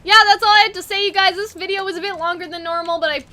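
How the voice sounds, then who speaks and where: Casting: woman host, high-pitched and bright, casual and animated, rambling off the cuff, playfully dismissive. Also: rapid-fire. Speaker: video host. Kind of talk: casual brainstorming chat.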